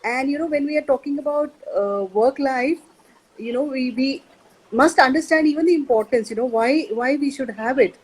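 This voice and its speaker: Husky, fairly high female panelist, earnest and methodical. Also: normal speed, rough recording. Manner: earnest, listing points in steady rhythm. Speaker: panel guest. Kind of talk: panel talk.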